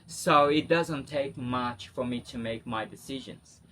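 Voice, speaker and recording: A man's voice: mid-range voice, measured pace, sharp tone, a little hiss or hum. A man from Brazil with a Brazilian accent, speaking English as an interview guest, chatty and spontaneous with many fillers and restarts. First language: Portuguese. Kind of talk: casual interview.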